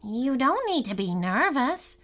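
A woman's voice: sits high, sing-song, unhurried, fairly bright tone, soft background music, pitched above the performer's own voice, exaggerated voice. A woman voicing a friendly, polite male werewolf in a children's storybook, easygoing and grateful. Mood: reassuring.

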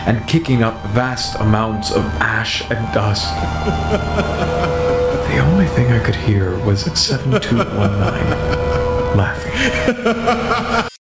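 An actor playing a grave survivor who recounts a catastrophe in dramatic audio-drama narration. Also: male voice, fairly low pitch, big pitch swings, slow, long pauses, roomy sound, over loud music, heavily acted, low-quality recording. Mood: grave, ominous.

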